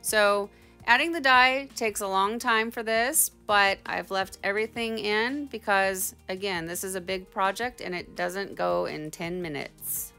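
Bright-voiced woman giving a casual tutorial. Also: medium pitch, fairly even intonation, a little slow, faint music behind. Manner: chatty, conversational, informal. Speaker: tie-dye tutorial host. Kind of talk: casual instructional voice-over.

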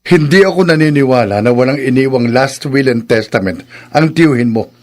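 A man's voice: mid-range voice, lively intonation, normal speed, natural voice. A man playing a stubborn, hot-headed middle-aged husband in a Filipino radio drama, emphatic, with a Filipino accent. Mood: defiant.

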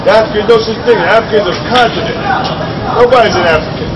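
A man's voice: high voice, fast talker. A middle-aged man preaching on a city sidewalk, pressing questions and repeating himself insistently. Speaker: street preacher. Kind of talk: street preaching. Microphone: far camera. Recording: distant voice, loud street noise. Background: traffic and crowd.

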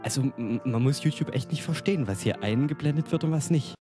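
A man's voice: fairly high pitch, fast talker, over loud music. A man co-hosting a live stream with casual banter.

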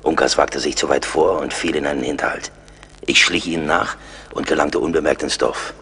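Very deep-voiced seasoned frontier scout. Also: male voice, steady tone, fairly quick, sharp tone, slightly rough, exaggerated voice. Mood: wry, narrating. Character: seasoned frontier scout, loyal, resolute, wry. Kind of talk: German radio play acting.

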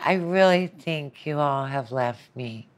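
A woman's voice: low voice, lively intonation, measured pace. An older woman, a lecturer appearing as a podcast guest, speaking in casual conversation.

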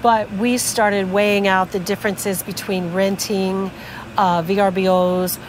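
A woman's voice: mid-range voice, flat delivery, measured pace. A middle-aged woman co-hosting a vlog, chatting casually and agreeably at an outdoor marina. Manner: agreeable, chimes in with affirmations. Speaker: vlog co-host. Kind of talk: casual chat. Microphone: fixed camera at a few meters. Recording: outdoor noise behind.

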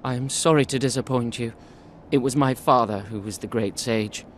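An actor playing a self-loathing, haughty young prince in a fantasy game, with a formal, pompous delivery. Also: male voice, mid-range voice, normal speed, a little hiss or hum, natural voice. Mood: dejected. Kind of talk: fantasy game cutscene acting.

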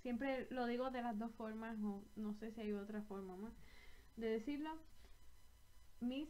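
A woman's voice: a little high, a little slow, mellow. A young woman with a Puerto Rican accent chatting to camera from a small bedroom about her knitting, rambling and correcting herself as she goes. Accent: Puerto Rican Spanish. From Puerto Rico. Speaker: knitting vlogger. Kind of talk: casual chat to camera.

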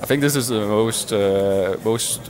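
A man in his thirties, a technical expert in an interview, explaining things in a halting, measured way with many pauses. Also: on the low side, crowd noise. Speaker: loudspeaker driver designer being interviewed. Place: glass-walled booth at a trade show hall. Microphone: headset.

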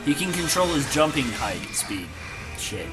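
A man in casual gaming chat with friends, swearing freely with excitable banter. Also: on the high side, unhurried. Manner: foul-mouthed, excitable banter. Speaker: gamer in a co-op let's play group. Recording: game music loud behind. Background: loud video game music.

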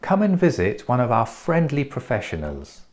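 A man in his forties presenting a clinic's treatment in a measured, explanatory scripted voice, recorded on a lapel mic in a bright treatment room. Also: mid-range voice, huge pitch swings. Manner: measured, explanatory, pointing things out.

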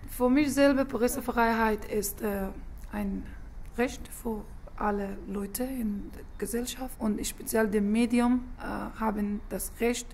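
A woman from Afghanistan, a journalist, speaking halting German in a documentary interview, pausing often with hesitations. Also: a little high, steady tone, a little mellow, a little hiss or hum. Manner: halting non-native German with frequent hesitations.

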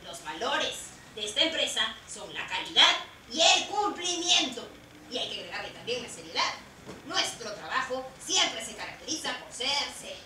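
Woman as an earnest boyish bricklayer puppet, high-pitched. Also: big pitch swings, moderate pace, bright, forceful tone, heavily acted. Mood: proud. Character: cheerful, earnest young male bricklayer puppet. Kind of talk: live educational puppet show. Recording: echoey open shed, distant mic, noisy.

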